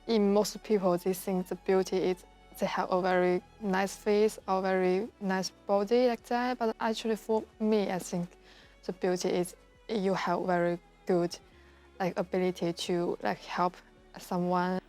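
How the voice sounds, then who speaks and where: Flat, hesitant woman, Chinese native speaker. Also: mid-range voice, measured pace, faint music behind. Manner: hesitant, searching for words. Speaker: interviewee. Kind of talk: documentary interview.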